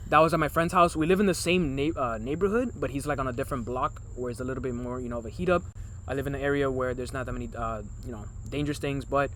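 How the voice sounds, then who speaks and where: A man's voice: medium pitch, fairly bright tone, a little hiss or hum. A man in his early twenties from Miami, co-hosting a podcast in loose, rambling casual chat that keeps calling out to the viewers. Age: early 20s. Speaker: podcast co-host.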